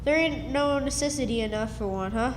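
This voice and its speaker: A woman's voice: a little high, fairly quick, a little hiss or hum, lightly acted. A woman playing an earnest, scheming runaway country boy in a radio play, with folksy speech. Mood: eager, scheming. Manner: folksy, eager. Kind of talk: stage radio drama acting. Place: live stage in a hall. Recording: reverberant hall recording.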